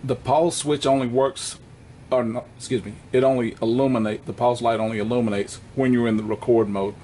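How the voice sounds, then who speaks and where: A man presenting an unscripted hands-on equipment walkthrough in a plainspoken, halting way, correcting himself as he goes. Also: mid-range voice, fairly even intonation, mellow, slight background noise.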